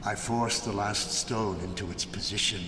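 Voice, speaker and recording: In a noisy, echoing hall, an actor plays a haunted, vengeful older man telling of his cruel deed. He speaks slowly and theatrically, some distance from the mic, in gothic radio drama fashion. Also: on the low side, fairly even intonation, lightly acted. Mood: haunted, remorseful. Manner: slow, deliberate, dramatic delivery. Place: live stage before an audience in a large hall.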